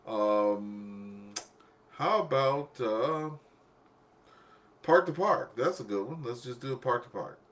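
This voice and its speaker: A man's voice: medium pitch, expressive, slow, pauses often, fairly bright tone. Male radio net host, casual and conversational, acts out little exchanges as he tells stories.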